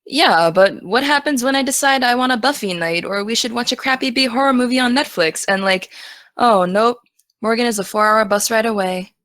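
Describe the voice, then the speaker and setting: A young American woman playing an excitable, teasing teenage aspiring journalist in naturalistic, casual audio drama dialogue. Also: on the high side, a little fast, no echo, clean studio sound, natural voice. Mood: chatty, coaxing.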